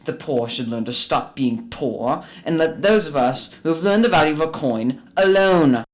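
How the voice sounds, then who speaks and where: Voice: mid-range voice, lively intonation, moderate pace, lightly acted. An actor playing a bitter, miserly old businessman who grumbles and scolds curtly in a radio drama. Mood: scornful, angry.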